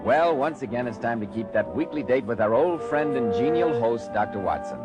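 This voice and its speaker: A man's voice: mid-range voice, big pitch swings, moderate pace, dull audio, over loud music. An American man announcing as a 1940s radio program host, in a polished, emphatic, salesmanlike style.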